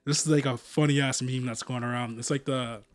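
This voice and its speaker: A young man rambles on a podcast, his talk full of fillers, with bro thrown in constantly. His voice is mid-pitched. Soft music hums underneath. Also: steady tone, fairly quick, dry recording. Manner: rambling, filler-heavy, says bro a lot. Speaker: podcast co-host. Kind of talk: casual chat.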